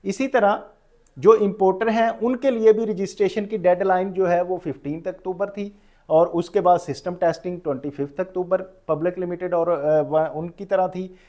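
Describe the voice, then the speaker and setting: A man with a Pakistani accent speaks in a notably high register for a male voice. He delivers an informal lecture as a professional instructor, dropping English terms into his speech. He often rephrases what he has just said to explain it clearly. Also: fairly even intonation, normal speed, mellow. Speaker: tax professional giving a lecture.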